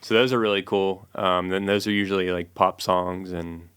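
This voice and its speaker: Man, podcast guest, very low monotone voice, bright and smooth, laid-back storyteller. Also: moderate pace. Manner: relaxed storytelling with fillers. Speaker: guest musician and producer. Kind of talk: casual interview chat.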